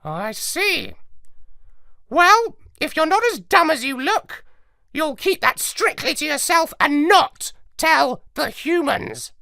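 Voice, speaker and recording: A man's voice: very high voice, big pitch swings, slow pace, vocal fry, pushed and bright, no echo, clean studio sound, pitched above the performer's own voice, exaggerated voice. A man voicing a bossy, scornful parrot major with a squawky voice and a sneering manner for a children's story. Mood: secretive. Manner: sneering, insulting.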